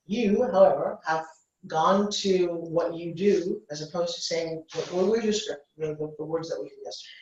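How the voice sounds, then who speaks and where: A woman's voice: fairly low pitch, normal speed, a little mellow. Commanding woman coach in a very echoey room. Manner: commanding, interrupting, short blunt instructions. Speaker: business coach leading a workshop. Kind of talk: live hands-on coaching. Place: indoor reverberant room. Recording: echoey room, distant dull microphone.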